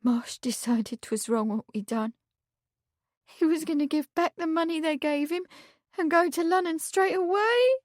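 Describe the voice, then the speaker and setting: A woman's voice: very high-pitched, steady tone, moderate pace, no echo, studio quality, pitched above the performer's own voice. A woman voicing a frightened young housemaid who talks in rustic dialect and pleads through tears. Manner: rustic dialect, sobbing pleas.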